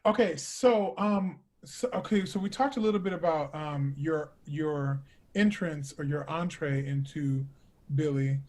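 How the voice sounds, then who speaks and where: A man's voice: on the high side, unhurried, a little mellow. A man hosting a casual interview, talking in an effusive, encouraging manner. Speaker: interview host.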